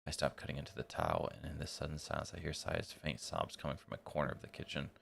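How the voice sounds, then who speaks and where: A man's voice: rumbling low, very animated, fast, creaky. A man streaming a visual novel, reading its text aloud dramatically and breaking off for blunt, slangy, casual reactions. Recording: loud music behind the voice. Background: game music.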